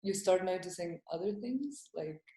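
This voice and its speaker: A young woman from Estonia speaks in a low, mellow voice, answering interview questions with hesitant, halting phrasing. Her first language is Estonian. The room around her rings with a noticeable echo. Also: animated. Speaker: comedian guest. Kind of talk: casual interview.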